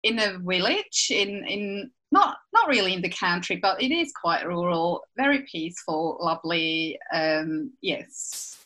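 Swiss accent woman in her 50s chatting on a podcast, bright voice, really animated pitch. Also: measured pace, few pauses, poor audio. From Switzerland. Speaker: podcast host. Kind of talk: casual podcast chat.

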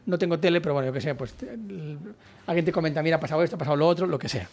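Fast-talking Catalan man, rambling. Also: a little high, darkish tone. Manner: rambling, many restarts and "o sea" fillers. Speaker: guest writer and journalist. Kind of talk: casual interview chat.

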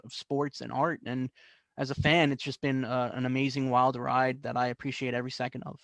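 A man's voice: mid-range voice, a bit bright, no echo. A young adult man from the New York area telling stories as a guest in a casual interview, with many fillers and restarts. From New York and New Jersey, US. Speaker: guest artist.

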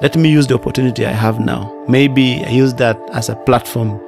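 A man gives personal testimony. His voice is gravelly and of medium pitch, with music playing underneath. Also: fairly even intonation, brisk. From Uganda. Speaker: podcast guest.

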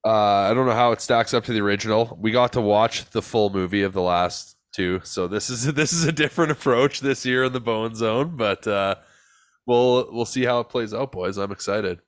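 A man's voice: fairly low pitch, moderate pace, no room sound. A man with a stuffed-up, congested voice runs a casual podcast chat. He talks in a loose, laid-back way, dropping fillers and the odd swear. The sound is dry with no room around it. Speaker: podcast host.

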